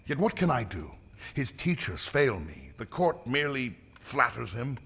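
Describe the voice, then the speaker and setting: Man playing a troubled, stately king with gravelly voice. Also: mid-range voice, big pitch swings, a bit bright, exaggerated voice. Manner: formal, stately, measured archaic speech. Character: weary, anguished king and worried father, formal and grave. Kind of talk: audio drama acting.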